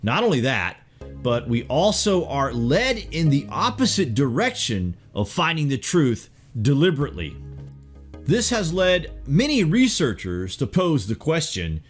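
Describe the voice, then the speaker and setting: A man delivers scripted documentary-style narration in a dramatic way, posing rhetorical questions straight to the listener. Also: mid-range voice.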